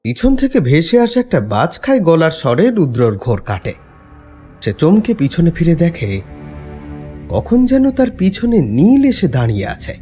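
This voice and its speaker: A man's voice: a little high, a little slow, husky, soft background music. A man narrating a scripted horror story in a dramatic, suspenseful way. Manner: suspenseful, expressive.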